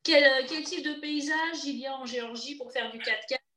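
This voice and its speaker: A woman's voice: high-pitched, fairly quick, pushed and bright. A woman hosting a podcast, asking friendly, slightly hesitant questions. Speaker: podcast host. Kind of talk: conversational interview questions. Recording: roomy echo.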